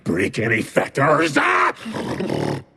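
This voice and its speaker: A tormented, boastful werewolf from a fantasy game. The voice is a raw, gravelly growl, badly roughened. He drags out broken phrases very slowly and with great effort, menacing and savage. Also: fairly high pitch, wildly swooping pitch, pushed and bright, exaggerated voice. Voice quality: guttural, growling, raspy. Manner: halting, laboured, broken phrases. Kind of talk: dramatic video game acting.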